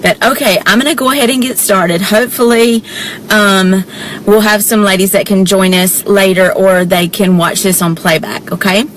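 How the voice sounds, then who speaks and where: A chatty woman with a Southern drawl from deep South Georgia, talking into her phone from a parked car. She greets viewers one by one in a friendly, easygoing way and sprinkles y'all through everything. Faint background noise sits under her voice. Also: steady tone, moderate pace, poor audio. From South Georgia, USA. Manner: chatty, warm greetings, frequent y'all, laughs often. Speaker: direct-sales presenter hosting a live stream. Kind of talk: casual livestream chat greeting viewers. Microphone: phone held or mounted in car.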